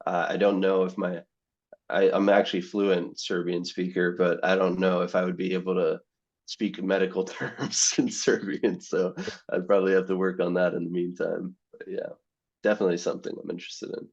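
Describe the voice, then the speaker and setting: A man's voice: sits low, flat delivery, normal speed, lo-fi sound. A young man, a medical student, answering interview questions in a rambling way, full of "you know" and restarts. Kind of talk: casual podcast interview.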